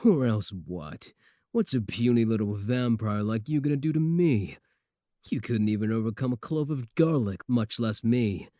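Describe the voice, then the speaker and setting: A man's voice: medium pitch, lively intonation, moderate pace, mellow, no room sound, clean studio sound, natural voice. A man voicing a possessive, boastful werewolf in a comedic ASMR roleplay, sardonic and taunting, doting on his sweetheart.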